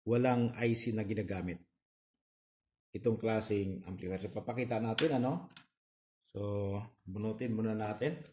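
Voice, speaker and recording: Mellow-voiced Filipino man explaining repairs. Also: on the low side, moderate pace, long pauses, a little hiss or hum. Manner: explains step by step, often seeking confirmation. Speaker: repair channel host. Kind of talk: casual hands-on repair demonstration.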